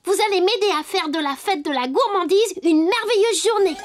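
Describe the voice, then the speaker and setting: A woman's voice: very high-pitched, fairly quick. A woman voicing a cheerful, helpful little wooden puppet boy in a French cartoon dub, with an eager, childlike manner. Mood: confident. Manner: eager, clear-cut, childlike. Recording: loud music behind the voice.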